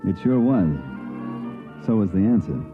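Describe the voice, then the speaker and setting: An actor playing a wry, deadpan government investigator who narrates his case in slangy 1950s radio drama style. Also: lowish voice, measured pace, phone-quality sound, over loud music. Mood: wry narrating. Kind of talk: 1950s radio drama acting, first-person narration and dialogue. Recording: vintage 1950s radio recording, band-limited.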